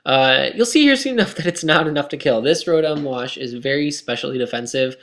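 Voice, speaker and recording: A man's voice: fairly high pitch, expressive, a little fast, fairly bright tone. Young man, scratchy tired voice, casual game commentary, rambling, self-critical, lots of ums. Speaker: gaming commentator.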